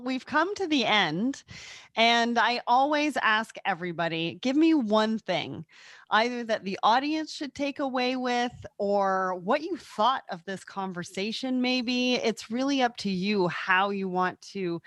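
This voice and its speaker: A woman's voice: mid-range voice, no echo. A woman hosting a panel discussion, enthusiastic and affirming toward her guests. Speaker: host.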